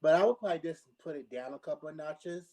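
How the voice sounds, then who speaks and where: A man's voice: on the high side, fast, warm tone. A man giving his opinion in a casual panel discussion, diplomatic and a little hesitant.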